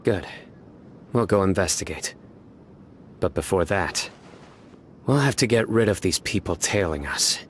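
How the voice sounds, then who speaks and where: A stern, deep-voiced judge. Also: male voice, lively intonation, slight background noise. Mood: grave. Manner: measured, grave and terse. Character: stern young male desert judge, calm and cold. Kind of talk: game voice acting. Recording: game audio with music behind.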